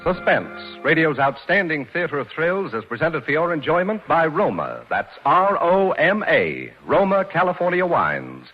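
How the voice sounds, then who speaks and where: A man's voice: a little high, sing-song, moderate pace, hardly any pauses, soft background music. An American man working as a radio announcer, reading a scripted 1940s sponsor message with a polished salesman's delivery. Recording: dull vintage radio recording.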